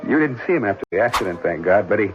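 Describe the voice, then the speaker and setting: A man acting in an old-time radio drama, playing a nervous, haunted young man with a halting, stammering delivery. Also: fairly low pitch, animated, lightly acted. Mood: troubled. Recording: dull vintage radio recording, music behind.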